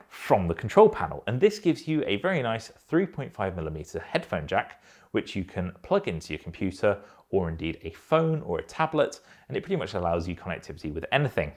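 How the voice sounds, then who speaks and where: A man speaks as a product reviewer, explaining things in a measured way. His pitch moves up and down in a lively, animated fashion, and the delivery is that of a prepared review. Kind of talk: scripted-sounding headset review.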